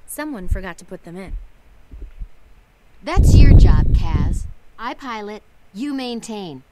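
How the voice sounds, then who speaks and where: A woman playing a sharp-tongued, bossy little genius pilot in a video game, scolding and wordy. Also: medium pitch, animated, slow, heavy background noise. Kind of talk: animated game voice acting.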